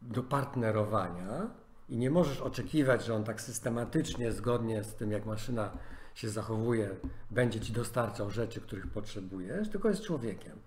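A man's voice: on the low side, animated, moderate pace, a little mellow, a little hiss or hum. A man coaching in a podcast conversation, giving earnest advice with many fillers and restarts. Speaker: life coach.

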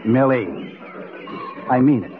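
Actor as earnest husband on tinny old radio. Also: male voice, medium pitch, takes it slowly, a crowd in the background, natural voice. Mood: firm. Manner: firm, earnest. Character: cautioning, serious archaeologist husband. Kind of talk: 1970s radio drama acting. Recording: tinny, phone-like 1970s radio recording.

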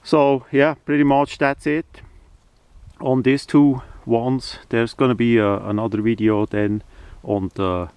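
A man's voice: fairly low pitch, darkish tone, clear, resonant tone, dry recording. A man who speaks English as a second language, giving a casual hands-on demonstration outdoors with hesitant phrasing. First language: not English (non-native speaker). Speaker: hobbyist video maker. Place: outdoors, beside a tarp on the ground.

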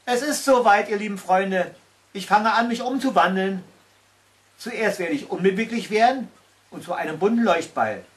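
Man voicing a small magical creature, high-pitched. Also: moderate pace, natural voice. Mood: solemn. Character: small gentle magical creature about to transform.

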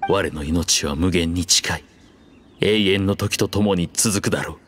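An actor playing an ancient, proud dragon king in human form, speaking gravely and formally in a measured way for a game. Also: low-pitched, a little hiss or hum. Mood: solemn resolve. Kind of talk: Japanese game voice acting, archaic dramatic dialogue.

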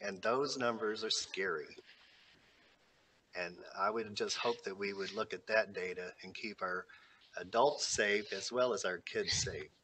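A man's voice: fairly low pitch, measured pace, pushed and bright, a little hiss or hum. A man speaking earnestly at a school board meeting over a video call, walking through figures. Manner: earnest, reasoned, cites figures.

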